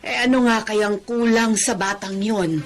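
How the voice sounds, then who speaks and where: A woman acting in a radio drama as a concerned middle-aged mother, husky and inquisitive, often exclaiming. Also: mid-range voice, steady tone, measured pace, natural voice.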